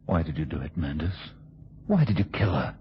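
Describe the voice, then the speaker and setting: A grave, questioning man with a low voice. He performs in old radio mystery style on a muffled, slightly noisy recording. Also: sing-song, brisk. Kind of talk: vintage radio drama acting.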